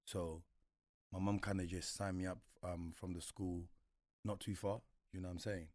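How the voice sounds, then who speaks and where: A young man from Congo with a London accent, whose first language is French, telling stories of his childhood in a relaxed, laid-back way. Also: deep voice, moderate pace, dry recording, clean studio sound. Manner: relaxed, laid-back, many fillers like "you know what I'm saying". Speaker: guest. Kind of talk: casual storytelling interview.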